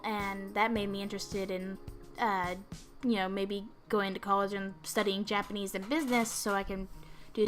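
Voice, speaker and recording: A young woman with a hoarse, raspy voice, still recovering from being sick, rambling unscripted in a vlog and laughing often. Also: medium pitch. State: hoarse after illness. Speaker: video creator. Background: loud music.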